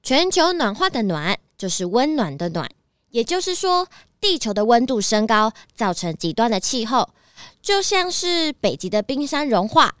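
High, animated, preachy rental clerk. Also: female voice, normal speed, no room sound, clean studio sound. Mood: eager, preachy. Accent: Taiwanese. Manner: lecturing, eager, exaggerated. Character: earnest, preachy, cheerful car rental clerk. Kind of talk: children's radio drama. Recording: music under the voice.

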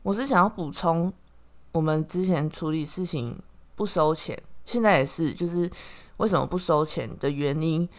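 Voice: medium pitch, moderate pace. A podcast host with a Taiwanese Mandarin accent, chatting casually while explaining things in a reflective way. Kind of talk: casual chat.

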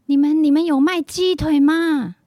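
A woman's voice: high voice, fairly even intonation, dark tone, lightly acted. A woman playing a befuddled, hesitant old lady in a radio drama. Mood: confused. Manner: slow, confused, halting. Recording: clearly noisy background.

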